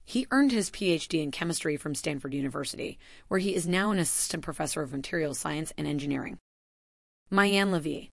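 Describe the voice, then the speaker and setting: Low-voiced woman reading a formal announcement. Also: a little fast, gravelly, dry recording, studio quality. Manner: even, formal read-aloud delivery. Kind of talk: scripted announcement narration.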